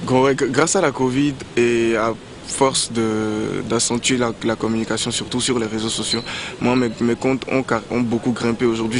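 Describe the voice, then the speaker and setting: Male artist interview, even flat delivery, relaxed and halting, street traffic behind. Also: medium pitch. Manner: relaxed, halting with many restarts. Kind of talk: casual interview answer. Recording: outdoor street recording with traffic noise.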